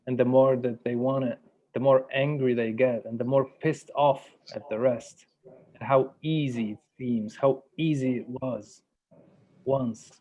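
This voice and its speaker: A man facilitating a workshop, speaking in a measured, deliberate way, like someone guiding a group through an exercise. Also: mid-range voice, steady tone, narrow, tinny audio. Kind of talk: guided meditation and workshop facilitation. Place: virtual workshop over video call.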